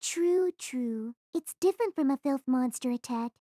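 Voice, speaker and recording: Woman playing a high-voiced, breezy teenage schoolgirl. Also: animated, moderate pace, mellow, no echo, studio quality. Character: chatty, teasing, carefree teenage schoolgirl.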